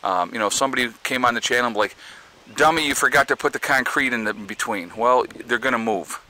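A man's voice: medium pitch, fast, pushed and bright, poor audio. A man with a Northeastern American accent, walking around his homestead with a handheld camera and talking through a casual vlog, rambling with many fillers and restarts. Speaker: homesteading vlogger. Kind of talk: casual walk-and-talk vlog. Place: outdoors on a rural homestead.

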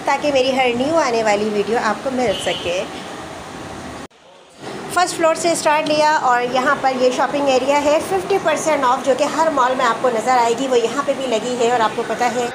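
A woman's voice: high-pitched, normal speed, background noise. A woman hosting a chatty walking vlog through a large indoor mall, mixing English phrases into her talk. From Pakistan. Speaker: vlogger host.

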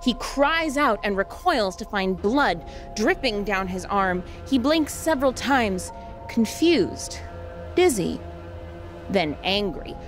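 A woman's voice: medium pitch, sing-song, slow, soft background music. A woman host giving scripted dramatic narration of a story.

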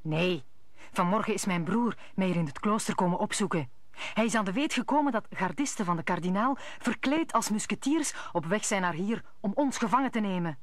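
A woman's voice: medium pitch, expressive, fairly quick, no echo, close to an everyday voice. A woman playing a cunning, villainous noblewoman posing as a friend in an old Dutch radio play. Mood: urgent, deceitful.

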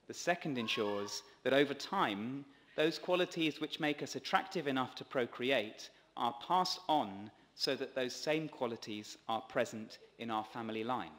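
A man's voice: mid-range voice, animated, moderate pace, hardly any pauses, a bit bright, slight background noise. Male priest preaching in a reverberant church. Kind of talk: sermon read from a script. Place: large stone church, at a pulpit. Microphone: gooseneck lectern microphone.

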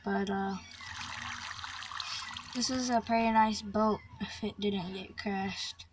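Slow hesitant young girl narrating gameplay. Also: mid-range voice, fairly even intonation, heavy background noise. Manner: hesitant, full of likes and ums. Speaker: Minecraft player touring a map. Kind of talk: casual gameplay commentary. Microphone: phone screen recording.

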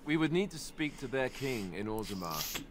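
A man's voice: medium pitch, sing-song, moderate pace, natural voice. An actor playing a deferential young male warrior in a video game, with a wry, conversational manner. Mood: informative. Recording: clear background ambience noise.

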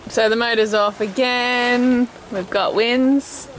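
A woman's voice: a little high, slow pace, noisy background. A woman, chatting casually as she films herself at arm's length on a sailboat. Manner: chatty, relaxed, laughs often. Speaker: vlogger, sailing family mum. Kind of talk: casual travel vlog narration. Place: outdoors on a sailboat deck at sea. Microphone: handheld camera at arm's length.

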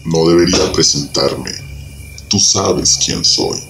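A man acts out a very low-voiced devil from a dark storybook legend. He is slow and commanding, a spirit who trades favours for souls. Clear noise and ambience sit behind the voice. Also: heavily acted. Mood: ominous, commanding. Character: infernal spirit, the devil offering a soul pact.

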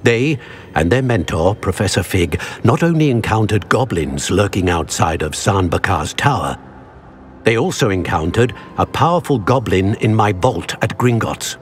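An actor playing a dignified older wizard mentor in a video game, formal and deliberate in his speech. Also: lowish voice, expressive, slight background noise, lightly acted. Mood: grave, urgent. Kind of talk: video game cutscene acting.

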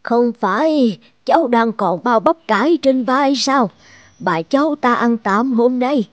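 Kindly old village midwife, high-voiced. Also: female voice, lively intonation, a little slow, no echo, muffled recording. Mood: gently firm. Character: kind, selfless elderly village midwife and grandmother. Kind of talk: animated folktale dubbing.

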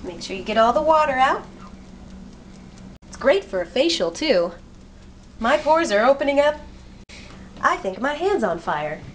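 Chatty young woman with a lively, high voice. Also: a little slow, long pauses. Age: young adult. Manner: chatty, jokey, self-mocking, moving around while cooking. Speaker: home cooking vlogger. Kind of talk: playful how-to narration. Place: small home kitchen, indoors. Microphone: handheld camera mic, moving. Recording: noisy home camera audio.

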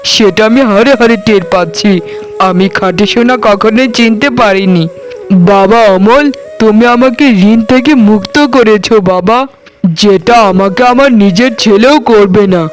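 A man's voice: sits high, moderate pace, faint music behind, close to an everyday voice, low-quality recording. A man voicing a ruined, humbled older father who speaks apologetically in a cartoon. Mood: remorseful. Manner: pleading, apologetic. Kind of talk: cartoon dialogue acting.

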